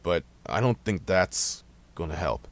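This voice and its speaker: A man's voice: deep voice, animated, measured pace. A man playing a grieving woman's mind as a blunt, exasperated, sweary inner voice in an audio drama, confronting her with pointed questions. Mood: subdued, reasoning. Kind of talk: amateur audio drama acting.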